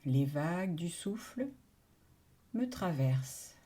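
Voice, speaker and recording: Woman, meditation guide, very slow pace, soft dark tone, calm, soothing, long pauses. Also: fairly low pitch, slightly rough. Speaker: yoga nidra meditation guide.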